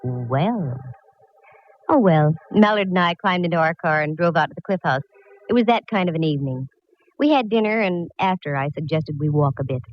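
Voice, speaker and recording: Low-voiced, wisecracking woman private eye. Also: lively intonation, normal speed, faint music behind. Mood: wry narration. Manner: wisecracking, breezy. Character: wry, quick-witted female private eye narrating her case. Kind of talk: 1940s radio detective acting and narration. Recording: noisy, dull vintage radio recording.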